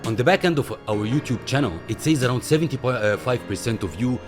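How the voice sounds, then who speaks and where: A man's voice: mid-range voice, expressive, a little fast, over music. A man hosting a talk-show interview, effusive and given to repeating his praise. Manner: effusive, repeats himself warmly.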